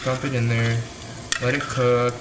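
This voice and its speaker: A man's voice: medium pitch, level tone, unhurried. A young man chatting casually while he cooks at home, thinking aloud and poking fun at his own cooking. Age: young adult. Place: home kitchen, indoors. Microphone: camera mic at a distance. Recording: echoey kitchen with frying noise. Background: sizzling pan and background music.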